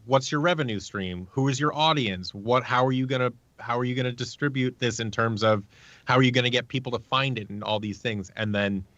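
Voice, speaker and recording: A man's voice: medium pitch, fairly bright tone, dry recording, lo-fi sound. A man talking casually as an interview guest, explaining at length and acting out bits of conversation. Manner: explanatory, acts out short quoted exchanges. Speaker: guest, audio drama creator.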